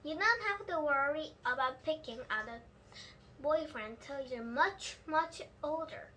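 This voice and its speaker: A woman voicing a patient, gently firm mother in a storybook, explaining things soothingly. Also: high-pitched, animated, measured pace, slight background noise, full character voice.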